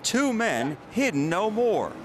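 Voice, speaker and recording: A man's voice: sits high, sing-song, takes it slowly, a crowd in the background. A man working as an investigative reporter, reading scripted news narration.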